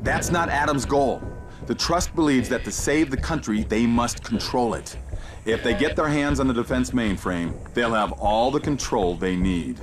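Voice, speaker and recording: An actor playing a grave, urgent mission guide in a video game, giving deliberate, commanding instructions. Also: male voice, medium pitch, noisy background, natural voice. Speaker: mission guide over radio. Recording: loud game music behind the voice.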